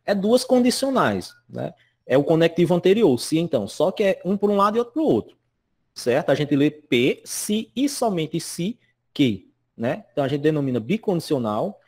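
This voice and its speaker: This is a man, teaching a recorded lesson from slides. His voice sits a little high for a man and swings up and down a lot. He keeps checking in with his students as he goes. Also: big pitch swings, normal speed, a little mellow. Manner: chatty, constantly checks in with "né?" and "certo?".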